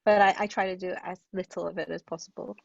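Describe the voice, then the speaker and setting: Halting woman, slightly creaky, in muffled audio. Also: fairly low pitch, steady tone, no room sound. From Hong Kong. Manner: thoughtful, halting, self-interrupting. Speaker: guest playwright. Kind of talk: conversational interview answers.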